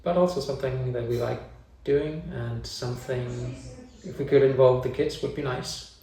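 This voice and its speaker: Gravelly man in his forties, echoey room. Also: medium pitch, steady tone, moderate pace, mellow, far from the mic. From Denmark. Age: 40s. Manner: plain, thinks aloud with restarts. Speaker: family vlogger. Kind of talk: casual chat.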